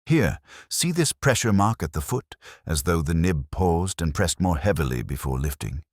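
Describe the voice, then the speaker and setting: A man's voice: sits low, sing-song, normal speed, a little mellow, dry recording, clean studio sound. A man voicing a brilliant, unyielding Victorian London detective in an audiobook, formal and decisive in his speech. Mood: keen, deducing.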